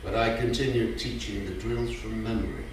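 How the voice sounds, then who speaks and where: An actor playing a gentle blind grandfather and former drill sergeant in a staged reading, affectionate and unhurried. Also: lowish voice, steady tone, lightly acted. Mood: reminiscing. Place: theatre stage. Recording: echoey theatre from far off, faint noise.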